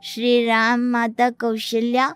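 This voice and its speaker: A woman voices a little boy in a cartoon story. The voice is high, gentle and innocent, with an earnest, pleading way of speaking. Music runs behind it. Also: completely flat delivery, slow, smooth. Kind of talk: dramatised moral cartoon story.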